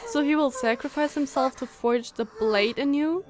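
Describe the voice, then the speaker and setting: A woman game streamer giving casual live reactions, thinking aloud in an expressive, halting way. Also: high voice, over music.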